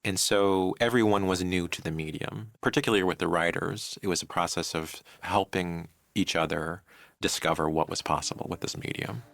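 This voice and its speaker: Creaky, low-voiced man in an interview. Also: moderate pace, a bit bright, no room sound. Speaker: interviewee, audio drama producer. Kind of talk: reflective interview talk.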